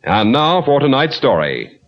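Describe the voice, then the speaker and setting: A man working as a polished, theatrical announcer in old-time radio style, introducing programs and pitching a sponsor. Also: sing-song, a little slow. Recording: dull lo-fi old radio recording.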